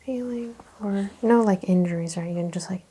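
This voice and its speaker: A woman doing a soft-spoken ASMR chiropractor roleplay, gently explaining and asking questions in short phrases, often slipping into a whisper. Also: medium pitch, moderate pace, slightly rough. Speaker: ASMR host playing a chiropractor.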